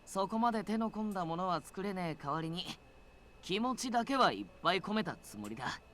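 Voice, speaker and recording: An actor playing an earnest, hot-blooded and easily flustered young man with rough casual speech in a visual novel game. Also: sits high, sing-song, racing, a bit bright, a little hiss or hum. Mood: heartfelt. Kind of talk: Japanese visual novel voice acting.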